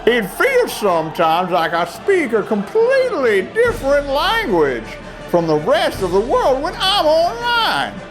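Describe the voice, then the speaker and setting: High voiced lady reading super dramatic, huge swoops, big choral music behind. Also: wildly swooping pitch, measured pace. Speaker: reader. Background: dramatic orchestral choral music.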